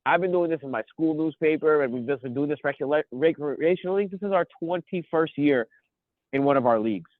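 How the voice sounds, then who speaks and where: A man in his thirties with a cold, chatting as a podcast co-host and rambling excitedly through his stories. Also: fairly high pitch, a little fast, dry recording. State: sniffly from a cold. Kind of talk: casual chat. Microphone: phone. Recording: tinny phone line.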